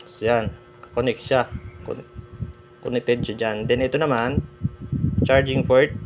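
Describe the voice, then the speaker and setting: A man giving a casual, halting product review as a motorbike vlogger, with lots of fillers. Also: medium pitch. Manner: relaxed, halting, many fillers. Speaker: moto vlogger reviewing an intercom. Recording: clear background noise.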